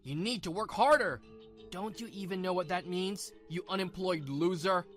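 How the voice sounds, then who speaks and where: A man's voice: high-pitched, normal speed, fairly bright tone, soft background music. Belittling, short-tempered husband, acted by a man. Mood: angry, blaming. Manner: scornful, blaming. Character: belittling, short-tempered working husband, self-pitying and domineering. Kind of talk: manga dub acting.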